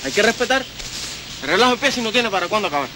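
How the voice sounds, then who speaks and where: A man's voice: sits high, lively intonation, rapid-fire. An actor playing an angry, jealous young man with a Cuban accent, brusque and slangy, in film dialogue. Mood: indignant. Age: young adult. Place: outdoors in a wooded park. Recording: old film, noisy outdoor birdsong ambience.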